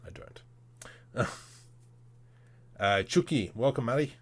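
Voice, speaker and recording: A man's voice: mid-range voice, lively intonation, slow pace. A man hosting a casual livestream, thinking aloud as he works, with informal and playful muttering to himself. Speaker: livestream host demonstrating 3D modelling.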